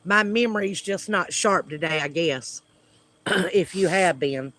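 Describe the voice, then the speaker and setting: A Southern US man with a high voice chats slowly with his livestream viewers outside at home. He talks in a hesitant, friendly way, with lots of pauses and fillers. His voice has a bright, forward edge. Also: slight background noise. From United States. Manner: hesitant, many fillers, friendly small talk. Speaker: homesteading channel host. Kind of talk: casual livestream chat with viewers. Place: outdoors at home.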